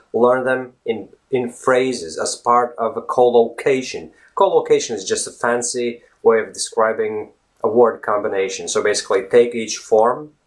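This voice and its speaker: A man in his thirties from Latvia with a Latvian accent, teaching English in a casual video lesson, earnest and full of fillers and "right?". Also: mid-range voice. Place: indoors, virtual studio backdrop. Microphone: camera close-up, facing camera.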